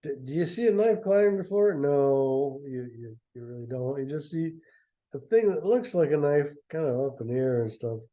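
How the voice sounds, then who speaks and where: A man's voice: a little high, expressive, normal speed, dull audio. A man reading a story aloud and breaking off for sarcastic, rambling asides about it. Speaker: reader and commentator. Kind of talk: sarcastic commentary on a book reading.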